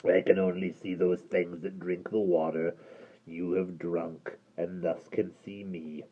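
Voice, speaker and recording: A man voicing a sinister, cold male water creature with a deep, gravelly voice, speaking slowly in a low, half-whispered menace. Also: level tone. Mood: menacing. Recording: dull lo-fi recording.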